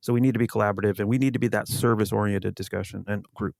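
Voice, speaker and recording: Gravelly low-voiced male podcast guest. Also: steady tone, very fast, no room sound, clean studio sound. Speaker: podcast guest, security executive. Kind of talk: casual interview answers.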